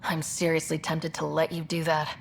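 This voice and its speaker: Lowish-voiced, decisive young leader. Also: female voice, monotone, normal speed, creaky, slight background noise. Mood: wry. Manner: decisive, wry. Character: confident, wry young female expedition leader. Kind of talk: video game voice acting.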